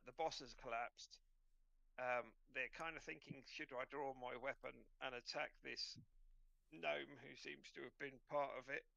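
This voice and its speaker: Male game master, bright edge with a creaky rasp, hesitant delivery, slightly dull close recording. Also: mid-range voice, no echo. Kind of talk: running an online tabletop roleplaying game.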